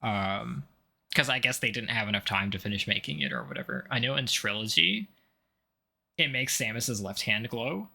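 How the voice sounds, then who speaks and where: A man's voice: mid-range voice, animated, moderate pace, creaky, sharp tone, slightly rough. A man giving casual gameplay commentary, thinking aloud in a hesitant, self-directed mutter with occasional mild swearing. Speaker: gamer doing a video game playthrough.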